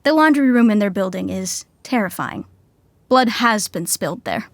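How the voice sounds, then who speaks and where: A woman narrating a scripted sitcom in a wry, deadpan, tongue-in-cheek way. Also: medium pitch, moderate pace, a little hiss or hum.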